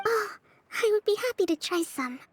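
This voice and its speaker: A woman voicing a composed young priestess and leader in a video game, speaking softly, politely and in measured, formal phrases. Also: very high voice, expressive, slight background noise. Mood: polite, gentle. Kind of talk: video game character acting. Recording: music under the voice. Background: background music.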